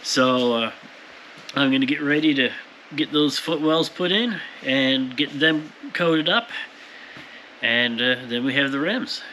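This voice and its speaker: Guy with a medium pitch voice explaining shop work casually to viewers, pretty noisy background. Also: takes it slowly, bright, forceful tone. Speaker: powder coater presenting his shop's work. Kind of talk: casual hands-on workshop narration to viewers. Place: powder coating workshop. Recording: clear background shop noise.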